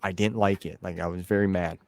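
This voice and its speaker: Man, interview host, lowish pitch, informal rambling chat, close dry recording. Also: steady tone, a little fast, a little mellow, no echo. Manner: informal, rambling, uses "man" and "dude" often. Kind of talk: casual conversational interview chat.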